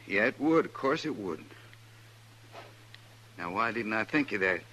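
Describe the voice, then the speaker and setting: Deep, intense jealous cowboy husband. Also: male voice, animated, measured pace, bright, forceful tone. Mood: dawning realisation. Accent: American. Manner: plain rustic speech, intense. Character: jealous, hot-headed, rough-spoken frontier husband, a man. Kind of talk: 1950s American radio western acting. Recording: noisy, humming old radio recording.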